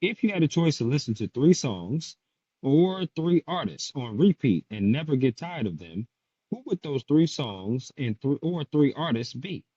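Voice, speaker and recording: A man's voice: on the high side, very animated, normal speed, mellow, breathy edge. A man hosting a casual podcast interview, firing off playful questions with some slang. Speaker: podcast host. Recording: dull lo-fi sound.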